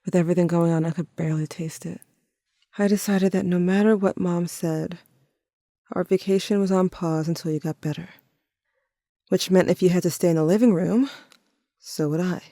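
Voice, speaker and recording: Woman as mellow, confiding teenage narrator. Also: fairly low pitch, fairly even intonation, no echo, clean studio sound, natural voice. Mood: somber. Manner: reflective, confiding, measured. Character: grieving teenage sibling narrating to sick twin, tender, wry. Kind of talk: intimate first-person audio drama narration.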